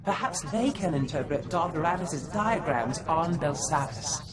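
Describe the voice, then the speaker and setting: A woman's voice: sits low, animated, normal speed, a crowd in the background. A woman voicing an earnest, formal, scholarly advisor in a game cutscene. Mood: measured, informative.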